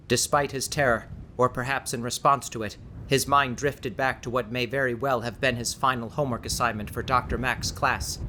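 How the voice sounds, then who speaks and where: Forceful, bright-voiced male audiobook narrator. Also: medium pitch, slight background noise. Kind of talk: dramatic scripted narration.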